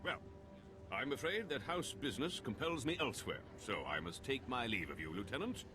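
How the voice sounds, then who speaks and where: A man's voice: mid-range voice, big pitch swings, normal speed, lightly acted. An actor playing a haughty, suspicious nobleman in a video game, speaking in a formal, wordy, pompous way. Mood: indignant, cold. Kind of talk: video game cutscene acting. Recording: game soundtrack music behind.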